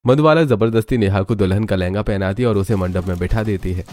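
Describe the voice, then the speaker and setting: A man's voice: on the low side, fast talker, darkish tone, no echo, faint music behind. A man narrating a scripted family drama story in a dramatic storytelling style.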